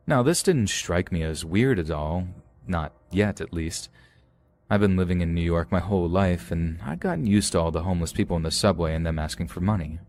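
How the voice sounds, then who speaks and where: A man narrating horror stories in a calm, measured storytelling manner. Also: low-pitched. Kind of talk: scripted narration.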